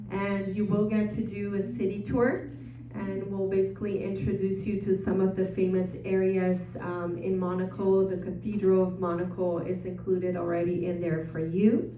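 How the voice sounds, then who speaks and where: A chatty woman with a Brazilian Portuguese accent presents to a live audience, filling gaps with ums and uhs. Her voice is mellow and warm. She sounds a bit distant from the mic in an echoey room, with a dull, muffled recording. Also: medium pitch, slight background noise. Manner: chatty, frequent ums and uhs, self-deprecating. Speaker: travel presenter at an info session.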